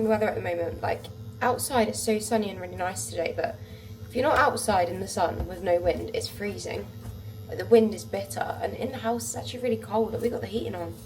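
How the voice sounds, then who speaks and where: A woman's voice: mid-range voice, lively intonation, fairly quick, a little mellow, distant mic, faint music behind. A young woman with a blocked-up, congested voice, chatting casually to camera in her home kitchen and rambling with lots of "like". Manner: rambling, filler-heavy, says "like" constantly. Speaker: vlogger. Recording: echoey room with background noise.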